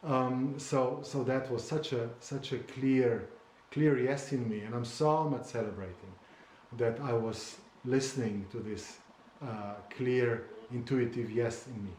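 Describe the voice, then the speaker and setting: A man whose first language is Slovene talks about writing his memoir, pausing often and searching for precise words. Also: medium pitch, darkish tone, reverberant, slight background noise, rough recording. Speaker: memoir author. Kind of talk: conversational interview.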